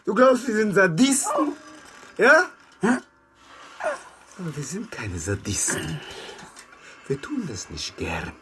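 An actor playing an embittered, grieving Afghan interpreter turned captor in a radio drama, moving between hushed confiding and outbursts. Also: male voice, sits high, huge pitch swings, slow pace, long pauses, full character voice. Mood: impassioned, accusing. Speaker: former army interpreter.

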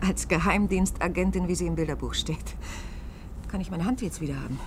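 Sardonic actress as a radio journalist, faint hiss. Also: female voice, on the low side, close to an everyday voice. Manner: ironic, challenging, quick-witted. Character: sharp-tongued, sardonic female radio journalist, emotionally strained. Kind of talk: German science-fiction radio drama, dialogue and narrated recollection. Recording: hissy vintage radio play recording.

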